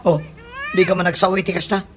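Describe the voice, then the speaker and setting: A man's voice: high-pitched, flat delivery, brisk, background noise, lightly acted. A man playing a brash, comic tricycle driver and eager suitor in an Ilocano radio comedy drama. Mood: teasing.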